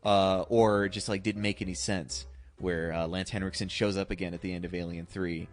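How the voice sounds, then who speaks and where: Low-pitched man chatting about films. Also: fairly even intonation, moderate pace, faint music behind. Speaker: podcast co-host. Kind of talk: casual film discussion.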